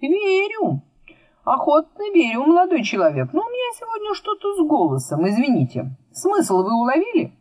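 A fussy male schoolteacher acted by a woman narrator in a children's storybook, formally polite and wryly teasing. His speech is drawn out and mumbly, pitched high, with animated swoops. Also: moderate pace, dry recording. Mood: mock-courteous.

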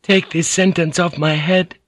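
A man's voice: on the high side, steady tone, measured pace, no echo, clean studio sound, voice pushed up. A man voicing an abject, deferential young widowed noblewoman who pleads submissively in an audiobook. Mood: pleading.

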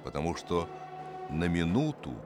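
Guy doing dramatic audiobook narration, lowish voice, very animated, music playing behind. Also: measured pace. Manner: expressive, suspenseful storytelling. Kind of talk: dramatic scripted story narration.

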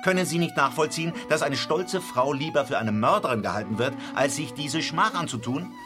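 An actor playing a self-assured, coolly logical detective who lays out a case in an articulate, measured radio drama style. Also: male voice, a little high, lively intonation, over music, close to an everyday voice. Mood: grave, pointed. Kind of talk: radio drama acting, explanatory monologue.

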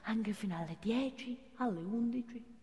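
Chatty, high-voiced working-class actress as an office woman. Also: moderate pace, dark tone, lightly acted. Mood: confiding. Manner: rambling, repeats herself, seeks agreement. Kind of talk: comic theatrical monologue. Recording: reverberant hall, faint background noise.

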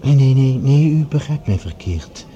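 A very dark, mellow-voiced professor, played by a man in a radio play. He is smooth and calculating, choosing formal, oratorical phrases. His voice rings in a roomy space. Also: medium pitch, animated, moderate pace, highs cut off, slight background noise, natural voice. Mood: polite condolence. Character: smooth, calculating male professor, grandly formal rival scientist. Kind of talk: radio drama acting.

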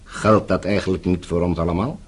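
Menacing middle-aged official, radio drama, very low husky voice, measured, condescending, formally polite. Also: male voice, steady tone. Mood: cool, polite. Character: smooth-talking, calmly menacing middle-aged Eastern Bloc official. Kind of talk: Dutch radio play acting.

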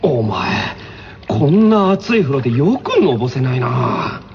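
A rough-talking, easygoing teenage boy , played by a man, speaks bluntly and casually in a radio skit. The sound is dull and narrow. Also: mid-range voice, very animated, measured pace, darkish tone, slight background noise, pitched below the performer's own voice. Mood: impressed. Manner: rough, casual boyish speech. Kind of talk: radio comedy skit acting.

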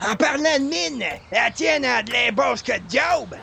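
A man's voice: very high-pitched, moderate pace, gravelly, over music, exaggerated voice. A man playing a spry, folksy old grandpa with a cracked voice and a colloquial Quebec French accent in a radio comedy. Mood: cheerful, folksy. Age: older. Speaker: actor in an audio comedy.

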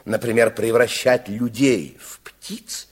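A man's voice: medium pitch, steady tone, slow pace, breathy edge. A man narrating a radio fairy tale with theatrical, dramatic storytelling.

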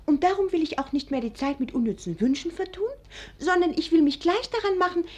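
Headstrong young wife, high, swooping delivery, innocent and insistent, 1940s radio drama. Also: female voice, lively intonation, brisk, darkish tone. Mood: eager. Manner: girlish, insistent, theatrical. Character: naive, headstrong young bride, girlish and scolding. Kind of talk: 1940s German radio play acting.

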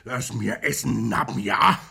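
Radio play casting: lazy, surly working man, slow gravelly delivery, German dialect, grumbles in few words. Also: medium pitch, pushed and bright, full character voice. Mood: grumpy. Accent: German regional dialect. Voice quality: gravelly, rough. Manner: grumbling, terse. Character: sullen, lazy, grumbling working-class husband.

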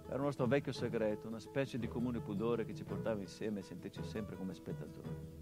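An actor playing a grown son who speaks to his murdered father in a theatrical monologue, quiet and reflective, with flashes of bitter emphasis. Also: lowish voice, quick pace, over loud music, natural voice. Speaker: stage actor in a radio play.

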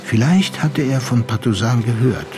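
A man's voice: medium pitch, lively intonation, normal speed, noisy background. A man narrating a radio play as a dramatic literary storyteller. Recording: loud music bed under the voice. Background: loud music.

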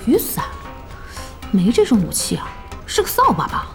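An actress playing a brash, excitable, sharp-tongued young woman boss in an audio drama. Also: fairly high pitch, normal speed, gravelly, soft background music, full character voice. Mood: explaining, puzzled. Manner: animated exclaiming, teasing, blunt.

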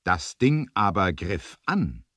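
A man's voice: low voice, big pitch swings, takes it slowly, bright, forceful tone, slightly rough, dry recording. A man narrating an adventure audio drama in a theatrical, emphatic storytelling manner, as on a 1970s studio record.

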